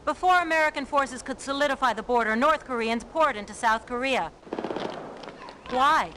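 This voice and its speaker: A woman's voice: sits high, moderate pace, pushed and bright. An actress playing an earnest, direct female war correspondent in a game cutscene. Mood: grave, newsy. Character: earnest, bold female television war reporter. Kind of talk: game cutscene acting with news reporting. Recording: clear background noise, vehicle ambience.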